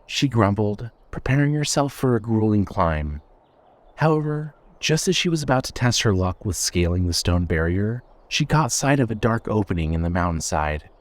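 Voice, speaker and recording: A man narrating a scripted suspense story in a measured, storytelling manner. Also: on the low side, very animated.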